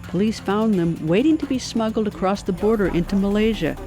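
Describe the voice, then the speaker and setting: A woman's voice: mid-range voice, fairly quick, warm tone. Woman narrating a documentary over music. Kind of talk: scripted narration.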